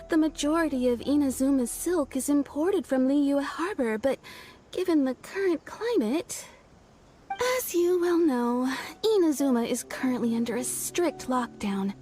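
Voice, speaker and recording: Brief: polite game shopkeeper, high and lively voice, measured and businesslike, faint music behind. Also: female voice. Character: courteous adult female shopkeeper, a businesslike tailor. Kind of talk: game voice acting.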